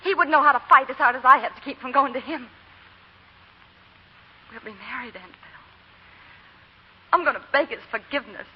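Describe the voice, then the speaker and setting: High-voiced, willful belle. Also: female voice, brisk, pauses often, breathy edge. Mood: defiant, fervent. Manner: intense, theatrical. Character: willful, impassioned young Southern belle. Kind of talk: 1940s radio drama acting. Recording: dull, noisy 1940 radio recording.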